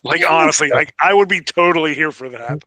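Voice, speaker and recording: A man's voice: a little high, fairly quick, sharp tone, no echo. A man co-hosting a podcast in casual fan chat, keen and full of his own opinions. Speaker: podcast co-host.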